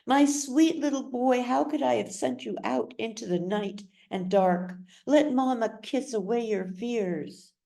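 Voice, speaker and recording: A woman reads as a storybook mother, her voice lifted well above an everyday register. She is brisk and bossy or syrupy and coaxing with her little son, with a soft, unhurried delivery. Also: on the high side, animated, gravelly, voice pushed up. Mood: cooing. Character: Alma, brisk mother, cloyingly tender with her small boy.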